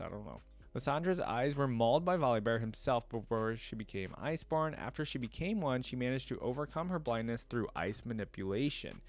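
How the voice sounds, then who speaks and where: Casual man doing reaction commentary. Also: medium pitch. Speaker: video creator reacting to game lore.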